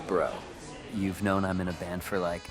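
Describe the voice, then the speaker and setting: A sly slacker raptor teen, played by a man in a visual novel game. The voice is low, drawling and unbothered, with clear music behind it. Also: little pitch movement, moderate pace, crowd noise, lightly acted. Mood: feigned cluelessness. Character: laid-back stoner teenage male raptor, sly slacker.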